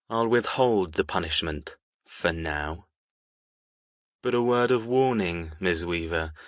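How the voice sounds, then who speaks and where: A man playing a cold, controlling villain in an audiobook, speaking formally and with quiet, controlled threat. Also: low-pitched, dry recording, muffled recording. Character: cold, menacing, controlling male villain and kidnapper. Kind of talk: audiobook character dialogue.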